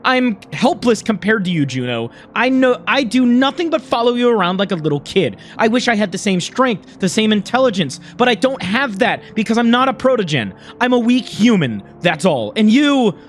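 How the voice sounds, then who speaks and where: A bitter, self-loathing young human, acted by a man in a dramatic story reading. He is intense and emotional, with a high, very lively voice over background music. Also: moderate pace. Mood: bitter despair.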